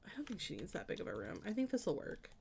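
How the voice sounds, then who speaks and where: A woman's voice: mid-range voice, huge pitch swings, fast talker, vocal fry, a little mellow, a little hiss or hum. Woman thinking aloud while streaming. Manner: thinking aloud, hesitant and meandering, with frequent trailing restarts; chatty and friendly when greeting and answering viewers. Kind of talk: casual live-stream chat while building in a life-sim game.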